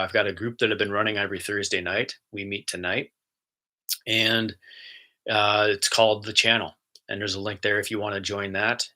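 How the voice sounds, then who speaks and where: Low, bright-voiced spiritual host. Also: male voice. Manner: easygoing and chatty, soft and slow when guiding. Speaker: spiritual host and intuitive channeler. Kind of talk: casual livestream talk and guided relaxation.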